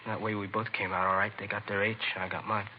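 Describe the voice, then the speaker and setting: An actor playing a remorseful, streetwise teenage addict confessing in slangy, halting phrases in a 1950s radio crime drama, on a muffled, hissy recording. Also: male voice, lowish voice, level tone, natural voice. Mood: reluctant confession.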